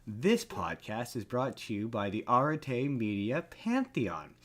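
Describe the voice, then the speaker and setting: Bright, animated male podcast host. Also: medium pitch, a little slow. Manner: animated, repeats phrases for comic emphasis. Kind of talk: casual comedic chat about pop culture.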